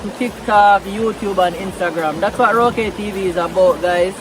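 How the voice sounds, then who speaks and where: A man's voice: sits high, normal speed, noisy background. A man from Jamaica with a Jamaican Patois accent, chatting casually in a vlog by a river and mixing Patois into his English. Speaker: vlogger. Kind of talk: casual vlog talk outdoors. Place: outdoors by a river.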